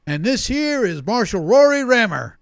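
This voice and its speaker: Folksy space-frontier agent, radio serial, creaky voice, animated, colloquial. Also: male voice, very high-pitched, no echo, studio quality, heavily acted. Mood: breezy. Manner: folksy, drawn-out colloquial talk. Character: folksy, breezy male frontier government agent. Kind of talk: old-time radio serial acting.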